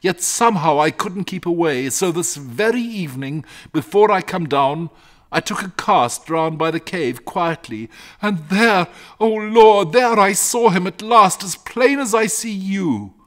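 A man reads as a fretful rustic shepherd from a storybook. The voice is pitched high and swoops about with agitation, rambling on like a frightened countryman telling his tale. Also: animated, unhurried, fairly bright tone. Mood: nervous, confiding. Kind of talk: storybook character reading.

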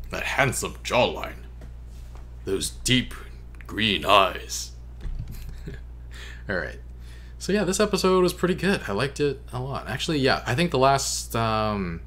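Low-voiced, lively young man reacting. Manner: halting, amused. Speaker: reaction video host. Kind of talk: casual reaction commentary. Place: small bedroom. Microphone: desk microphone close by. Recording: faint background hum.

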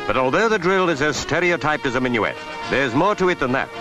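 A man's voice: a little high, animated, a little fast. A man narrating a 1960s newsreel in scripted commentary over music. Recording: archive newsreel with music bed.

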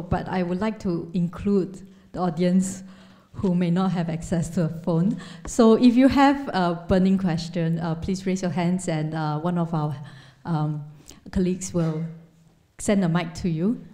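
Mellow low-voiced woman moderator. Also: a little slow. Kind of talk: moderating a panel.

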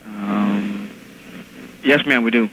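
A man's voice: lowish voice, extremely slow. A man working at a grocery store, politely and patiently helping a caller with some hesitation. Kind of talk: customer service phone call. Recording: phone call with clear line noise.

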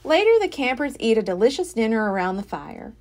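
A woman reads a children's picture book aloud. Her voice sits fairly high and swings widely in pitch, giving the narration an expressive, animated shape. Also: brisk. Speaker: storybook reader.

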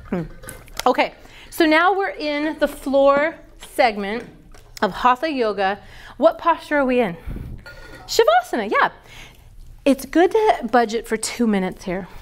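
A middle-aged woman teaching a yoga teacher training class, lively and quizzing her students with questions. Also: a little high. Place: large wooden-floored yoga studio. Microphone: far camera.

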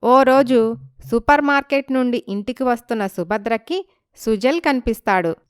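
A woman's voice: fairly high pitch, moderate pace, clean tone, no room sound, studio quality. A woman narrating a scripted dramatic story as its storyteller.

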